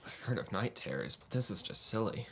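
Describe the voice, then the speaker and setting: A man's voice: lowish voice, big pitch swings, fairly quick. A man acting a groggy, bewildered young man with an aching head in a web series. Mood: groggy, baffled. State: groggy, groaning. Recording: clear background noise, clicking.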